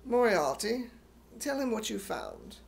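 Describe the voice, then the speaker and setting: Man acting suave gentleman villain, put-on British accent, slow, creaky, mock-courteous, sly, radio comedy. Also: high-pitched, very animated, full character voice. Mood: sly, menacing. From United States.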